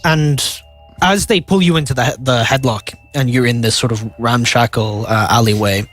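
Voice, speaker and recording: A young man with a nasal voice, narrating a tabletop game as game master, halting and filling pauses with "uh" and "like". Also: mid-range voice, a bit bright, soft background music. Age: young adult.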